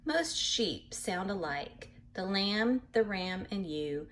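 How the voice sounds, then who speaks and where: Slow-paced woman reading aloud to children. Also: medium pitch. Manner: animated, acts out animal sounds, addresses young listeners; explains patiently in simple words. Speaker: read-aloud storyteller for children. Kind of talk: expressive picture-book reading with simple explanations for children.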